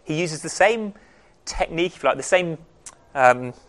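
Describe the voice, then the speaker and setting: A man leading a Bible study group, explaining in a relaxed, conversational way with frequent pauses and restarts. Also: a little high. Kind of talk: casual teaching talk.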